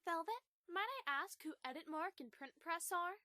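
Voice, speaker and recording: A woman's voice: very high voice, normal speed, pushed and bright, no room sound. A woman voicing a young, politely direct pony princess in a fan radio play. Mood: curious.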